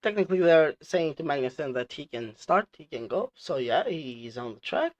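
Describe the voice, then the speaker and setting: A man who is a non-native English speaker hosting casual commentary, explaining things in a halting, repetitive way. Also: a little high, lively intonation, muffled recording, heavy background noise. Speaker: host reviewing team radio clips.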